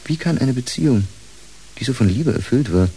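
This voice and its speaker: A man's voice: mid-range voice, expressive, normal speed, mellow, slight background noise, lightly acted, rough recording. A male actor playing an earnest, brooding doctor in a German radio play, hesitant and reflective.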